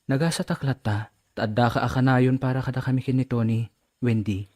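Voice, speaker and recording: A man's voice: medium pitch, steady tone, fairly quick, darkish tone, natural voice. A man acting an earnest, serious family man in an Ilocano radio drama. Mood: earnest, wooing. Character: men of a family drama: stern father, earnest suitor.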